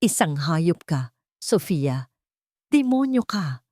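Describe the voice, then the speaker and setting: A woman's voice: sits low, very animated, slow pace, warm tone, no room sound, clean studio sound, full character voice. A woman voicing a shaken, bitter male villain in an audio drama, exclaiming his lines. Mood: hateful. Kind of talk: dramatic audio story dialogue.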